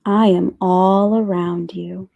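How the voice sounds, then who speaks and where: Woman as slow, soothing voice of God. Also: lowish voice, fairly even intonation, creaky, mellow, dull audio, heavily acted. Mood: reassuring. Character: calm, reassuring, all-present voice of God.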